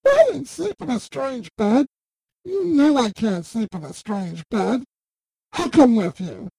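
A woman playing a whiny, reluctant young sister with a husky, creaky voice in a fantasy audio drama. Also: fairly high pitch, big pitch swings, a little slow, dark tone, heavily acted. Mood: whining.

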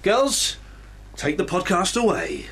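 A man's voice: high-pitched, wildly swooping pitch, takes it slowly, fairly bright tone, a little hiss or hum. A man hosting a radio breakfast show, congested from a cold, talking in a rambling, jokey way in a studio. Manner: blocked nose, rambling and jokey. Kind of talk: casual chat.

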